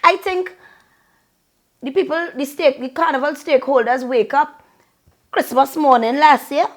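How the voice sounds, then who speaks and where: A woman's voice: high voice, a little slow. A woman from Trinidad with a Trinidadian accent, ranting bluntly and profanely to camera in Creole-flavored casual talk. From Trinidad and Tobago. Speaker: vlogger and longtime event host.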